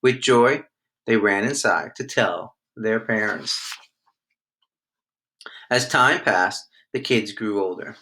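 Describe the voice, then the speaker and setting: A man reading a children's storybook aloud in an expressive storytelling manner. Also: on the low side, slow pace, long pauses. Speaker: storybook reader.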